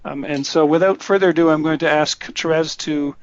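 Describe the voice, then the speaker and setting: A man hosting a webinar, introducing speakers in a halting way with many fillers and restarts. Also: a little high, fairly even intonation. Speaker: webinar host. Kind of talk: hesitant spoken introduction. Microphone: computer mic on a webinar call. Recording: dull, dry lo-fi webinar audio.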